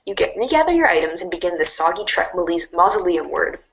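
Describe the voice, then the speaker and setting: A woman narrating a second-person storybook in an expressive, wry way. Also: on the low side, a little fast, creaky, rough recording.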